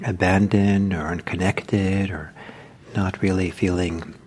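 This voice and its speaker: An older man, originally from Norway and a native Norwegian speaker, giving an informal meditation talk in a gentle, unhurried way with many pauses. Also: deep voice, a little hiss or hum. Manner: gentle, hesitant, with frequent pauses and fillers. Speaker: meditation teacher. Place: meditation hall.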